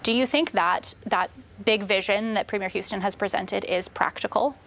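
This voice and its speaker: Creaky-voiced woman asking interview questions. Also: medium pitch, moderate pace, a bit bright, slight background noise. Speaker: news interviewer.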